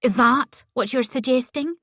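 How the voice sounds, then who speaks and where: Woman putting on a gravelly-voiced spiteful older lady, all smug glee, pitched a bit high, dead-dry sound. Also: animated, unhurried, dry recording. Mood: gleeful mocking. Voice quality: gravelly smoker's voice. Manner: mocking, gleeful, taunting. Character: spiteful gloating older woman, a grieving mother. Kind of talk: audiobook character voice.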